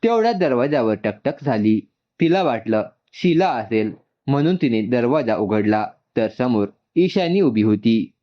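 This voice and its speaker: Animated man narrating a story, slightly dull sound. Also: medium pitch, unhurried. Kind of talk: scripted story narration with dialogue.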